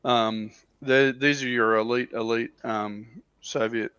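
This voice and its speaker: A man's voice: medium pitch, fairly even intonation, slow, fairly bright tone, clean tone, no echo. A man co-hosting a livestream, casually explaining tabletop game units as an informal, somewhat hesitant enthusiast on slightly dull audio. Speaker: livestream co-host.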